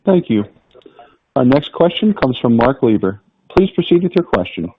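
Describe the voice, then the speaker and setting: A man's voice: mid-range voice, very animated, normal speed, a little hiss or hum. A man working as a conference operator, making formulaic scripted announcements. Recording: dull, band-limited phone audio.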